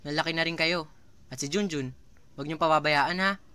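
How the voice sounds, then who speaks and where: A man's voice: on the high side, a little fast, pushed and bright, clean tone. A man playing a loving, struggling father who talks earnestly to his children in an amateur radio drama. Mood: tender, earnest. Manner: tender, earnest.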